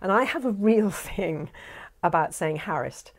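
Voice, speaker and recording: A middle-aged woman talking as a guest in a casual studio chat, expressive and self-deprecating, laughing often, on a lapel mic. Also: medium pitch, a little slow. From England. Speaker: guest, narrator and director. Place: small indoor studio set.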